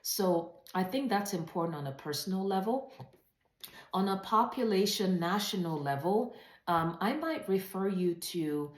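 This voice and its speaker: A woman's voice: mid-range voice, expressive, a little slow, roomy sound. A woman presenting a writing webinar in an earnest, emphatic, conversational way, putting rhetorical questions to her listeners. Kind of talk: webinar talk, conversational teaching.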